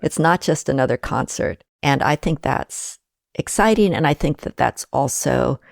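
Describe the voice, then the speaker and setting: A woman speaking as an interview guest, reflective and measured, talking with warm enthusiasm about shared projects. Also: a bass voice, animated, creaky, no echo, studio quality.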